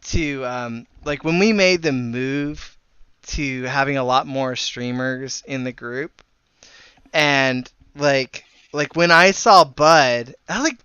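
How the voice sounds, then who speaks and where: A man's voice: mid-range voice, takes it slowly, bright, forceful tone, smooth, no room sound, dull audio. A man chatting casually as he streams a game, swearing and exclaiming freely. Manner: profane, exclamatory. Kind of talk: casual chat while gaming. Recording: music behind the voice. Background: music.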